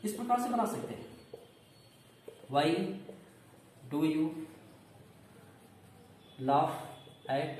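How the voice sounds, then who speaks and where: High-pitched man teaching, very echoey room. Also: a little mellow. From India. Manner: instructive, asks questions and answers them himself. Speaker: English grammar coaching teacher. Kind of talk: classroom lesson, explaining step by step. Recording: echoey room, distant mic, faint noise.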